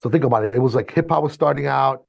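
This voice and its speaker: Fast-talking gravelly man, native Spanish speaker. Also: mid-range voice, dry recording. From born in Chile, raised in Miami. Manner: animated, slangy, swears freely. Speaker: mixing engineer guest. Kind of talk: casual storytelling conversation.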